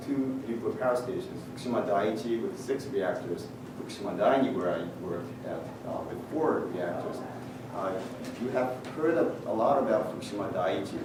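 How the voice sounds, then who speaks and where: A middle-aged Japanese man speaking English with a Japanese accent, giving a measured technical conference talk with frequent "uh" pauses and a touch of dry humor. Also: fairly low pitch. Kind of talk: presentation talk with slides. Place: large conference hall. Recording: echoey hall picked up from far off with noise.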